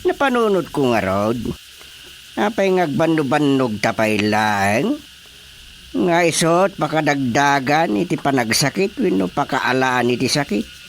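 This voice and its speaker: Older male chairman, weary grumbler, bossy, animated pitch, radio comedy acting, background noise. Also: unhurried. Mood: grumbling, dismissive. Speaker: radio drama actor.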